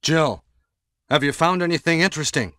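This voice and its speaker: A swooping, well-meaning action-game teammate. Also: male voice, a little high, expressive, moderate pace, fairly bright tone. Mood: helpful. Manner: stilted, exaggerated swooping delivery. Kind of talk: 1990s video game voice acting. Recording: long hollow reverb.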